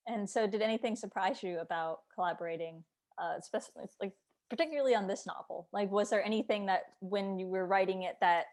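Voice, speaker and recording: A woman hosting a casual interview, speaking in an agreeable, hedging, conversational way. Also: lowish voice.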